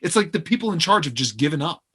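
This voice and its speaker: A man's voice: fairly high pitch, big pitch swings, quick pace, sharp tone, breathy edge, exaggerated voice. A man acting as an earnest, self-deprecating aspiring novelist in a stage play, hesitant and apologetic. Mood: earnest, embarrassed. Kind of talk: naturalistic stage play dialogue.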